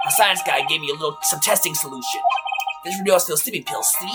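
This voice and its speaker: A man voicing a friendly, bumbling police detective in a video game fandub, gruff and plainspoken. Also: a little high, fast, over loud music, lightly acted. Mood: earnest. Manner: folksy, blunt, plainspoken.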